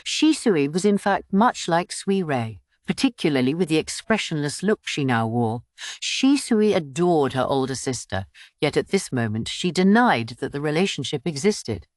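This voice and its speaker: A woman reading a novel aloud as an audiobook narrator, in an expressive scripted storytelling style. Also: low voice, normal speed, no room sound, studio quality.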